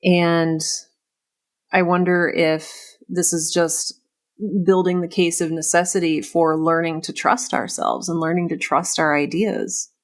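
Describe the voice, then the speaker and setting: Female podcast host, slightly low voice, conversational and reflective, thinking out loud through questions. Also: level tone, unhurried. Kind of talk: conversational interview.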